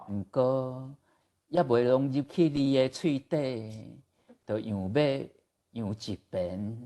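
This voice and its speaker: Poetry reader, Taiwanese accent, very slow delivery, dark mellow tone. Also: medium pitch.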